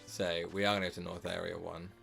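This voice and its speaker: A man with a slightly sore, hoarse throat, casually commenting on his live gameplay stream and rambling with lots of filler words and self-corrections. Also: low voice, level tone, fast, fairly bright tone, faint music behind.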